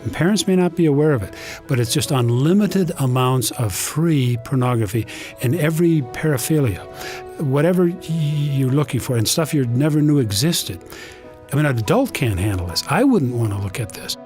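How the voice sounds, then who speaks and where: A man's voice: medium pitch, lively intonation, moderate pace, a little mellow, soft background music. A man speaking as a guest on a radio talk show, explaining things earnestly. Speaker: interview guest. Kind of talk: conversational radio interview.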